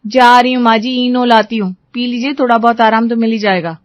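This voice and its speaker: A woman's voice: on the high side, fairly even intonation, a little fast, dry recording, natural voice. A woman voicing a strict, fed-up young daughter-in-law, firm and lecturing, in a family story. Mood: scolding.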